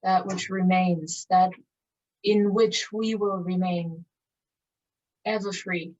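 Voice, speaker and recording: A woman leading a guided meditation in a calm, contemplative, measured manner with long pauses. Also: fairly low pitch. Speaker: meditation teacher. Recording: distant mic, dull muffled sound.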